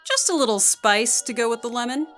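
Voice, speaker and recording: Nagging housewife for radio drama, female actor, plaintive and chiding, highish voice, lively swings, music behind. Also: brisk. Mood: solicitous. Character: nagging, lonely housewife who dotes on her dog. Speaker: actress in an audio drama. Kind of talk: radio play acting.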